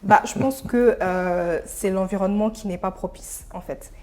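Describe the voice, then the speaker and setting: A young woman from Senegal, an interview guest, talking casually and pausing mid-thought. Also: mid-range voice, darkish tone. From Senegal, of Burkinabe descent.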